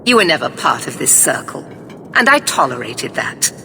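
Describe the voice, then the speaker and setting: An older villainous commander in a fantasy game, stern and righteous. Her delivery is cold, formal and deliberate, in a low register. Loud rumbling noise sits behind the voice. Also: sing-song, gravelly, exaggerated voice. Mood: cold, accusing. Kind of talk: dramatic video game voice acting.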